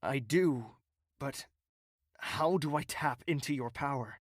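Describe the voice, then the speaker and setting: A man's voice: medium pitch, takes it slowly, no room sound, studio quality. A man playing a troubled, self-doubting young royal in an audio drama, with an earnest, hesitant, introspective manner. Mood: wary, questioning.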